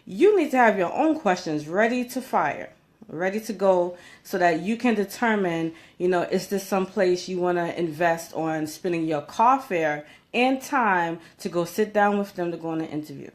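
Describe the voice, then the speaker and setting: A woman's voice: fairly low pitch, expressive, moderate pace. A woman speaking as a career coach in an informal advice vlog, conversational and emphatic, often checking in with her listeners. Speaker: career coach giving job interview tips.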